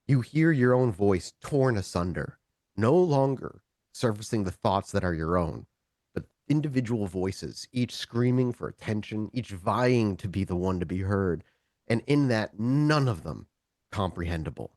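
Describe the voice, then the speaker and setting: A man running a tabletop roleplaying game, shifting between casual talk and deliberate, dramatic second-person narration. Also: lowish voice, animated, darkish tone, no room sound, studio quality. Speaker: game master of a streamed tabletop roleplaying show.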